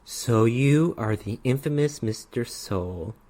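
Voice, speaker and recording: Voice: mid-range voice, animated, unhurried, mellow, lightly acted. A narrator voicing a bored, playful, menacing female demoness in a dramatic story reading. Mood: impressed, mocking.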